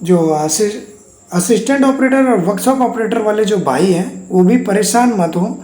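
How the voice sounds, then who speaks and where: Mellow voice in an echoey room. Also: medium pitch, lively intonation, normal speed. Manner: repetitive, reassuring. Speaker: host of an exam news channel. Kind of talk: informal news update explainer addressed to exam candidates.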